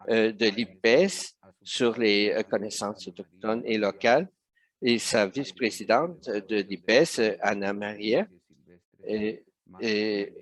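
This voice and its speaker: A man interpreting a webinar live, speaking with frequent hesitations and self-corrections. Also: on the low side. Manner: hesitant, many fillers and restarts.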